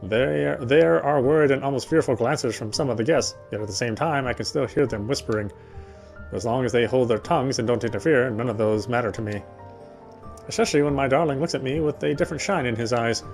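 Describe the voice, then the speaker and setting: A man's voice: medium pitch, brisk, vocal fry, darkish tone. A man doing a live dramatic reading of first-person story narration from a game. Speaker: let's player reading game narration aloud. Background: faint music.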